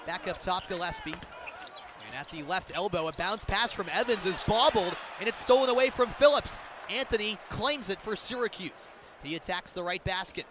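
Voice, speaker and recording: Male radio play-by-play announcer, high pitch, clipped vivid calls, noisy arena, live sports commentary. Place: basketball arena during live games. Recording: clear arena noise, bouncing basketball.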